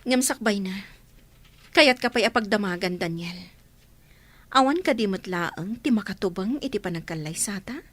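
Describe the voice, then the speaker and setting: A woman acting an earnest young bride-to-be with an Ilocano accent, speaking deliberately and questioningly in a radio drama. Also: medium pitch, expressive, a bit bright.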